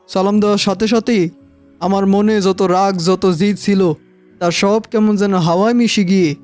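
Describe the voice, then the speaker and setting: A man plays a self-important cartoon bandit boss with a very high-pitched voice for a male. He is theatrical and bullying, yet soft-hearted underneath, and music plays behind him. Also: lightly acted. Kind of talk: animated cartoon voice acting.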